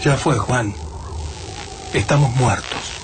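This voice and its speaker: An actor playing a fatalistic, despairing survivor in a radio drama, anxious and defeatist. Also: male voice, medium pitch, big pitch swings, slow pace, very noisy. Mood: resigned.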